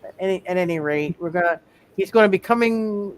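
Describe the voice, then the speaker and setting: Male game master, high voice, repetitive improvised delivery, slightly noisy setting. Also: brisk. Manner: repeats words and phrases while thinking. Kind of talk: improvised narration of a live tabletop roleplaying game.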